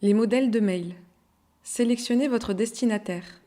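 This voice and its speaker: A woman narrating a scripted software tutorial in an instructional, step-by-step way. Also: fairly high pitch, moderate pace.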